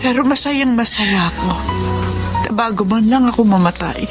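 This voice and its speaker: A woman with a Filipino accent playing a gentle, sentimental elderly former nanny in a radio drama, speaking in an emotional, reminiscing way. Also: a little high, normal speed, over music, natural voice. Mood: tearful, tender. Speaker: actress and first-person narrator in a radio drama.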